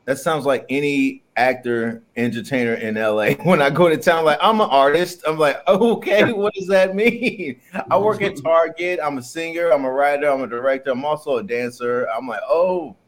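A man's voice: fairly high pitch, normal speed, few pauses. A man co-hosting a podcast, chatting casually and asking questions in a jokey, colloquial way. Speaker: podcast co-host. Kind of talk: casual chat and interviewing.

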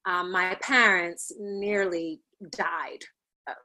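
A thoughtful woman from Ghana in her forties, speaking as an interview guest. She recounts her path in a measured way, with frequent ums and small laughs between thoughts. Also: lowish voice, fairly even intonation, pushed and bright, low-quality recording. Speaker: plastic surgeon guest. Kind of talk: interview, life story.

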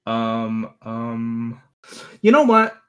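A man chatting casually as a podcast guest, halting and restarting, swearing in exasperation. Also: mid-range voice, big pitch swings, smooth. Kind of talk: casual chat.

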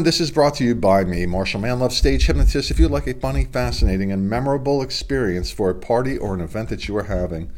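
A middle-aged man with a somewhat low voice talks through local sports news from his home studio. He sounds congested from a cold. His delivery is chatty and rambling, with self-deprecating asides. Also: a little mellow. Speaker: local sports podcast host. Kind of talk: casual solo sports report. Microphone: video stream webcam setup.

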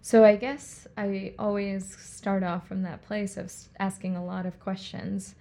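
Mellow-voiced woman, reflective interview guest. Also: mid-range voice, little pitch movement, measured pace, creaky. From India and Puerto Rico. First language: Hindi. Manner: reflective, rambling with many fillers and restarts. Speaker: guest, artist and director. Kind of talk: conversational interview.

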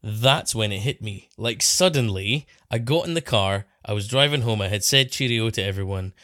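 Wry, animated man on a dry mic. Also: on the low side, moderate pace, no room sound. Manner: animated storyteller, laughs often, wry. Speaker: co-host. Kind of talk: casual podcast chat.

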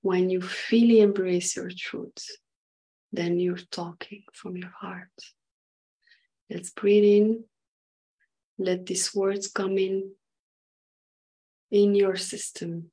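Woman, meditation guide, unhurried pace, breathy soft-edged tone, halting short phrases, pauses between thoughts. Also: mid-range voice, flat delivery, low-quality recording.

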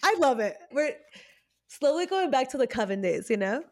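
A woman's voice: sits high, big pitch swings, normal speed, darkish tone. A woman co-hosting a podcast in casual, slangy chat, gushing and giggly as she talks and reads cards. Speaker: podcast co-host. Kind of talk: casual chat with tarot card readings.

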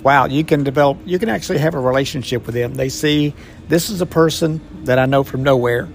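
A man's voice: medium pitch, vocal fry, a little hiss or hum. A man in his sixties, a grandfather and mission trip volunteer, answering interview questions in a reflective, heartfelt way. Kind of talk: podcast interview.

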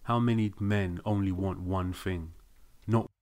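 A man's voice: low voice, fairly even intonation, unhurried, darkish tone. A man narrating a commentary clip in a dry, cynical tone. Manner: dry, cynical, judging women.

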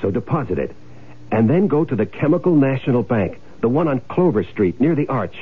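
An actor playing a scheming, greedy, domineering husband in an old-time radio drama, speaking impatiently. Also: male voice, medium pitch, very animated, fairly quick, close to an everyday voice. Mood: commanding, scheming. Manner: domineering, impatient, barking orders. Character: scheming, bossy, greedy husband running an insurance swindle. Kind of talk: old-time radio drama acting, first-person noir narration. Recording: muffled old radio broadcast, music behind.

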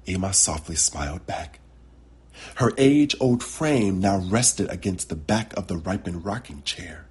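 A man narrating a literary short story as its author, with deliberate, dramatic phrasing. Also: sits low, lively intonation, breathy edge. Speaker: author reading his own short story.

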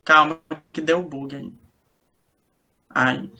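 A man's voice: on the high side, fairly even intonation, extremely slow, creaky, pushed and bright. A twenty-year-old man with a Brazilian accent, a student introducing himself casually to a club. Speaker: book club member, engineering student.

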